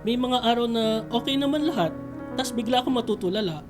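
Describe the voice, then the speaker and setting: A woman acts out a popular young athlete in a radio drama, all casual banter and joking complaints. The Filipino-accented voice sits fairly high, with faint music underneath. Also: a little fast, close to an everyday voice. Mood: mock weary. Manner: casual, jokey, grumbling.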